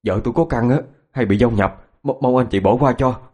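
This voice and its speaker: A man playing an exasperated husband in an audio story, hurried and prone to stammer. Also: medium pitch, warm tone, husky, lightly acted. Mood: apologetic. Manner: irritable, scolding, hurried, stammering. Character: exasperated husband of a crazed wife, scolding, apologetic. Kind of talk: audio ghost story acting.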